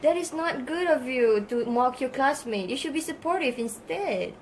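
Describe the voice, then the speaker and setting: A woman's voice: sits high, animated, normal speed, a little hiss or hum. A woman playing an encouraging but firm female teacher in a scripted student puppet show lesson. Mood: gently scolding. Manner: instructive, addresses class with questions and praise. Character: encouraging female teacher, praising yet gently scolding her students.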